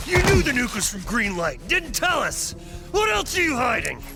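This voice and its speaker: A hot-headed soldier in a military game cutscene. His voice is high, strained with gravel and swings widely as he hurls accusations over clear background music. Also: wildly swooping pitch, moderate pace, exaggerated voice. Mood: furious. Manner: confrontational, accusing. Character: furious, hot-headed male soldier confronting a colleague. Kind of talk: cinematic military game acting.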